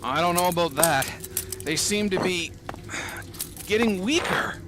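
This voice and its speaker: A man acts as a defiant prisoner in an audio play. His voice is a bit high and very animated, urgent and earnest, over faint noise. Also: measured pace. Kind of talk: audio drama acting.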